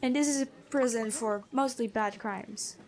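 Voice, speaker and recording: A woman playing a stern but kind, noble-born prison guard in a fantasy ASMR roleplay, talking in a measured, conversational way. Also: a little high, a little mellow, slight background noise. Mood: confiding.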